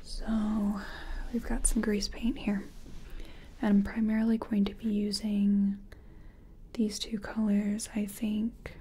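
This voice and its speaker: A woman playing a nervous makeup contestant in a soft-spoken ASMR roleplay, whispering hesitantly as she thinks aloud. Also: mid-range voice, level tone, slow pace, breathy edge. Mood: hurried, unsure. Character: nervous female makeup artist competing in a contest. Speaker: ASMR performer.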